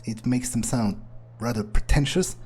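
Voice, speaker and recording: A man playing a cynical, foul-mouthed vampire in an improvised game roleplay, husky and halting, muttering scornfully. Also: mid-range voice, darkish tone, faint music behind, close to an everyday voice. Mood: brooding, dismissive. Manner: halting, muttering, profane, sardonic.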